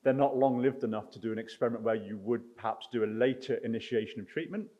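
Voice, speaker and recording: A man's voice: medium pitch, fast talker, dull audio. A man answering audience questions after a research talk, careful and hedging with many ums. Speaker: research speaker. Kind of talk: conference Q&A answer. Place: lecture hall.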